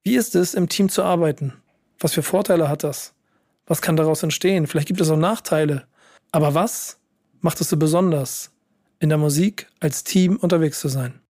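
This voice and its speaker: A man with a fairly high voice hosts a casual podcast. He sounds hoarse and a bit worn out, like someone under the weather, and talks in a loose, conversational way. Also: moderate pace, a little mellow.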